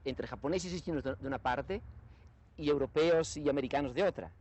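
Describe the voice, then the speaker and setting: A man from Spain in his sixties, speaking in a lively, emphatic way and often restarting his sentences in a televised conversation. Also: fairly high pitch, fairly quick. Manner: lively, emphatic, repeats himself when starting a sentence. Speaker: guest scholar. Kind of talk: conversational discussion.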